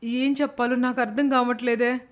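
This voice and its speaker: A woman voicing an uneasy nurse drawn into her friend's scheme in an animated moral story, with expressive, dramatic delivery. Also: fairly high pitch, brisk, close to an everyday voice. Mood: shocked.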